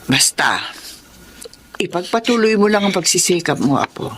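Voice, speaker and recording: A woman's voice: on the low side, big pitch swings, moderate pace, a bit bright, husky, full character voice. A woman playing a caring, encouraging old grandmother with a Filipino accent, soothing and coaxing, in a radio drama. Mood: reassuring.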